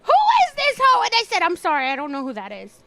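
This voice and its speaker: A young woman streaming live, chatting casually with a hoarse voice and telling an animated story full of laughs. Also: extremely high pitch, quick pace, loud music behind the voice. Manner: animated storytelling, laughs often, acts out quotes.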